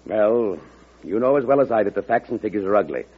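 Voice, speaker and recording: Skeptical treasury secretary, blunt, lively rise and fall, muffled old radio play. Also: male voice, fairly low pitch, fairly quick, breathy edge. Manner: blunt, argumentative, dry. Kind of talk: old-time radio drama acting. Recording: muffled vintage radio drama recording.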